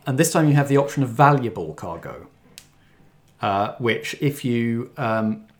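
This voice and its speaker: A man's voice: mid-range voice, lively intonation, unhurried. A man hosting a podcast and running a role-playing game, talking casually in hesitant, stop-start phrases. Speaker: podcast host and game master. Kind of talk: casual podcast chat and improvised game narration.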